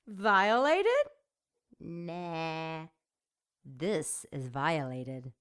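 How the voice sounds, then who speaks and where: A woman's voice: mid-range voice, pushed and bright, exaggerated voice. A woman playing a seductive, domineering demon in an audio drama, with a sultry, mocking delivery. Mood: sadistic, sultry. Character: seductive, sadistic female demon, taunting and domineering.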